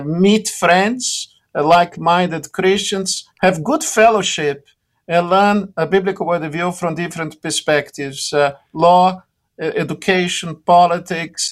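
A middle-aged man with a Brazilian accent, speaking eagerly and haltingly as a radio interview guest. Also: high-pitched. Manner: enthusiastic, halting.

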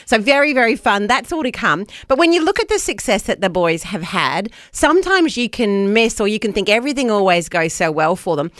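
A woman co-hosting breakfast radio, bubbly and quick to laugh. Her voice sits mid-range and is recorded close and dry in a studio. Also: mid-range voice, animated, fairly bright tone, no room sound. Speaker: radio show co-host. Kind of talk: casual breakfast radio chat. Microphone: studio microphone.

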